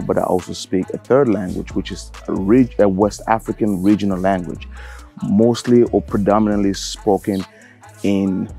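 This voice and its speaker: A young man from Cameroon, talking casually to camera as a travel vlogger, relaxed and rambling with plenty of fillers. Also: low voice, vocal fry. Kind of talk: casual vlog talk to camera.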